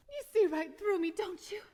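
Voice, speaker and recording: A woman's voice: very high-pitched, mellow, full character voice. An actress playing a restless, flirtatious small-town woman in a 1940s-style radio play, theatrical in delivery. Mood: confiding. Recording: live stage taping in echoey hall.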